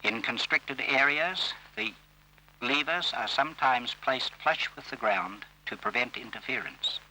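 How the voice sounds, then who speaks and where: A man reading scripted instructional narration for an old training film, in a formal, measured and instructive manner. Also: mid-range voice, pushed and bright. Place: voice-over recorded for film. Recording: old scratchy railway training film soundtrack.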